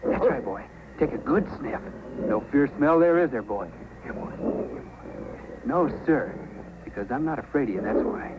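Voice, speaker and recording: An actor playing a gentle, earnest young male dog handler in an old-time radio drama. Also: mid-range voice, expressive, normal speed, long pauses, very noisy, natural voice. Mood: coaxing, soothing. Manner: coaxing, soothing, earnest. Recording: tinny old radio broadcast with loud music.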